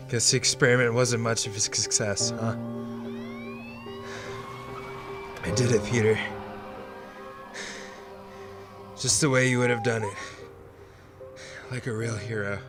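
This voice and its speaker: A man's voice: fairly low pitch, steady tone, normal speed, long pauses, music in the background, lightly acted. A young man playing a bitter, unstable best friend turned villain in a superhero audio drama, theatrical and sardonic. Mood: weak, tender. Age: young adult.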